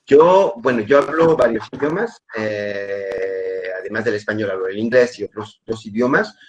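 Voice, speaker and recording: A man's voice: lowish voice, moderate pace. A man with a French accent giving a webinar talk in Spanish, hesitant and often repeating words, heard over a video call. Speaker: webinar speaker.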